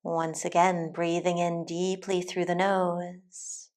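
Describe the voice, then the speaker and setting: A woman teacher leading a guided meditation in a calm, soothing, deliberate way with long pauses. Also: fairly low pitch. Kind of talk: guided meditation, soft instructional narration.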